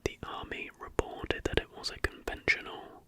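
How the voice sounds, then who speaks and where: An ASMR host whispering throughout in a slow, unhurried way while presenting to listeners. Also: bright, forceful tone. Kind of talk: ASMR, softly whispered reading of facts with casual asides.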